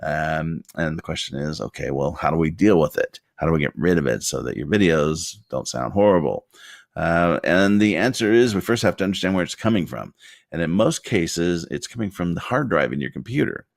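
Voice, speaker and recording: A man with a very deep voice giving a rambling, casual tutorial at his desk into a desktop mic, with lots of fillers and wry asides. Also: expressive, brisk, gravelly, no echo, studio quality. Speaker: video creator giving tips. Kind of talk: casual talking-head tutorial. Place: home desk. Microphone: desktop condenser mic.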